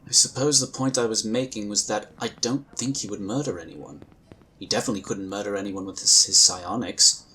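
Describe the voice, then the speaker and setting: Dude doing a polished diplomatic inquisitor, bright voice, low pitch, measured. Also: male voice, a little hiss or hum, rough recording. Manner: measured, courteous, persuasive. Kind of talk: fan audio drama acting.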